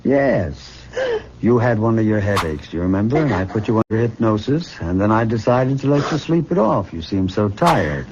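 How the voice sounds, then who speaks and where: Old radio drama, sinister professor, low voice, very expressive, coaxing and controlling. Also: male voice. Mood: soothing. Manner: slow, soothing, deliberate. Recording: muffled, faintly noisy old radio recording.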